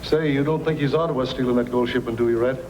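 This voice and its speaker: A man's voice: mid-range voice, steady tone, quick pace, darkish tone, lightly acted. An actor playing a smug outlaw henchman in an old-time radio drama. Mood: slick, eager. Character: smug male outlaw henchman in an underground hideout. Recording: long hollow reverb, old radio hiss.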